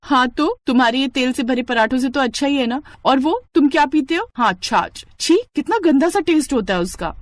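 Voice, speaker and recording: A woman voicing a playful, fussy young city-bred wife in a cartoon story, with a lively, bratty manner. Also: high voice, brisk, few pauses, dry recording, voice pushed up. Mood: fussy, teasing.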